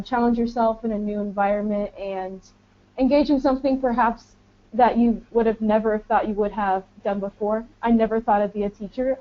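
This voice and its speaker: A woman in her twenties with an American accent, introducing herself informally as a teaching assistant on an online webinar. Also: mid-range voice. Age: 20s. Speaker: English teaching auxiliary speaking as a webinar panelist. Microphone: online webinar call. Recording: dull lo-fi internet call audio.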